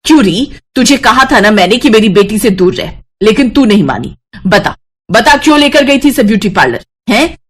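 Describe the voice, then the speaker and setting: A woman's voice: a little high, big pitch swings, normal speed, breathy edge. A woman voicing a bitter, domineering widowed mother-in-law in a cartoon story, taunting and threatening. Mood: furious. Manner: taunting, threatening, sharp-tongued. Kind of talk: Hindi animated moral-story voice acting.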